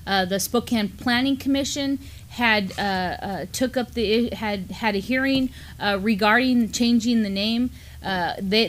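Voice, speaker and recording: A woman's voice: medium pitch, slight background noise. A middle-aged woman with an American accent co-hosting community news in a casual conversational style. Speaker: co-host of a community news show. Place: indoor studio, seated at a desk.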